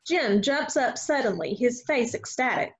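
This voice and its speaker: A woman's voice: fairly high pitch, lively intonation, measured pace. A woman reading stage directions as scripted narration for a play. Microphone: video call. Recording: dull video-call audio.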